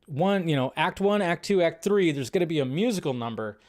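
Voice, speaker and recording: A man's voice: a little high, expressive, a little fast, darkish tone. Rambling, chatty man hosting a movie stream. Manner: rambling, filler-heavy, loose and chatty. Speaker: host of a live movie news show. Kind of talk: casual live-stream chat.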